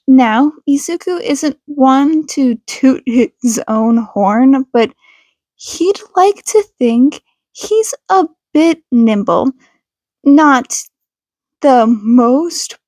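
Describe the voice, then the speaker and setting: A woman's voice: high voice, a little mellow, no room sound. A woman reading fan fiction aloud as scripted audiobook narration. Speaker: podfic reader.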